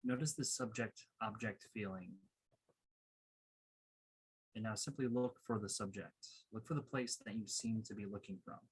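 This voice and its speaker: Male meditation coach, husky and on the low side, measured instructional delivery for guided sessions. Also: poor audio.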